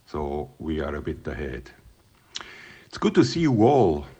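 Swiss-accented man, 50s, very low and unhurried, friendly prayer. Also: wildly swooping pitch, mellow, husky, muffled recording. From Switzerland. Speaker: guest sending a video greeting. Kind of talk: greeting and prayer.

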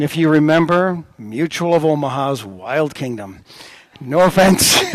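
Pastor preaching in a dry close sound. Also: male voice, no room sound. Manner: storytelling with lively pitch swings. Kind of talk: sermon.

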